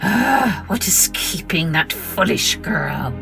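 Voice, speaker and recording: A woman's voice: on the low side, sing-song, takes it slowly, vocal fry, long reverb tail, over music, full character voice. A woman voicing a tyrannical, menacing condor master who scolds and commands in a children's story. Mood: angry, impatient.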